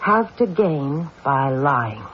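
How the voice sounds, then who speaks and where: An actor playing a stubborn, intuitive police detective in an old-time radio mystery, insistent and deliberate. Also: male voice, a little high, big pitch swings. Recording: dull, hissy old radio recording.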